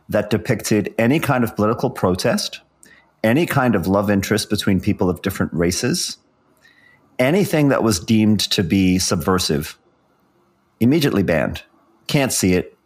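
A middle-aged man with a lowish voice delivers podcast commentary. He is from South Africa and speaks in articulate, wordy sentences with a wry edge, as a co-host who moves between prepared script and asides. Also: expressive, normal speed, breathy edge.

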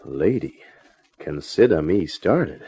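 An actor playing a wry, hard-boiled private detective in a 1950s radio drama, husky and slangy. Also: male voice, low-pitched, big pitch swings, slow, dark tone, exaggerated voice. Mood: resigned, wry. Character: wisecracking, hard-boiled male private detective, weary of romance. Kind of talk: 1950s radio detective drama acting and first-person narration. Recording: dull vintage radio drama recording.

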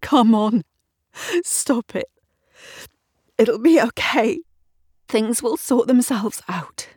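A woman's voice: fairly low pitch, slow, mellow, breathy edge, dry recording, studio quality, heavily acted. A woman playing a desperate, self-loathing drinker who berates herself, bitter and scornful, in a dramatised story. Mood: frantic self-soothing. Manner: bitter, scornful, swinging into anguished wailing.

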